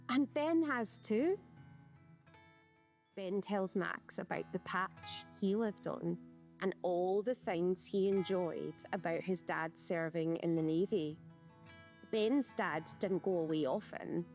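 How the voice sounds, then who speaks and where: A woman with a Scottish accent reading a children's storybook aloud in a gentle, clear way. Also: mid-range voice. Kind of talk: scripted storybook narration. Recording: soft guitar music behind.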